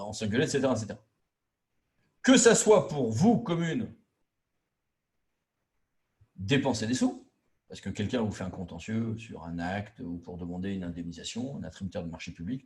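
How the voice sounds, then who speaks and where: A man speaks as a trainer in an informal lecture. His voice sits at a medium pitch. He explains things didactically in colloquial language and leans on key words for emphasis. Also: darkish tone. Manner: colloquial and didactic, acting out imagined quotes. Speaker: trainer lecturing local officials on intermunicipal law.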